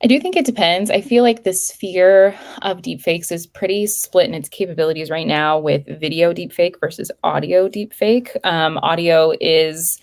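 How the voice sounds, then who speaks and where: A woman's voice: on the low side, normal speed, few pauses. A woman guest in a casual interview, explaining things in a conversational way. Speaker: threat intelligence analyst guest.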